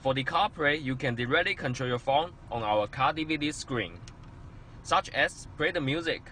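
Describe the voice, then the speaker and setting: A man's voice: a little high, moderate pace, sharp tone. Man narrating a product demo, faint noise. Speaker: product demonstrator. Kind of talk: scripted product demonstration narration.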